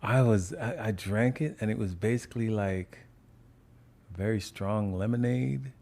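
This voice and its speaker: A man, a podcast guest telling relaxed stories in casual chat and laughing often. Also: lowish voice, unhurried. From Jamaica.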